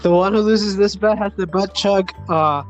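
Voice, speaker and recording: A man's voice: high voice, moderate pace. A man co-hosting a sports podcast with blunt, slangy casual commentary and free swearing. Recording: muffled lo-fi audio, faint music.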